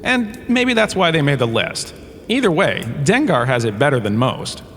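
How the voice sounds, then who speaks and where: A man's voice: on the high side, very animated, normal speed, a bit bright. A man playing a washed-up holodrama star who lectures in a comedic audio drama, chatty and wry, with theatrical storytelling. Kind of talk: comedic scripted lecture narration. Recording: long reverberant hall, faint ambient noise.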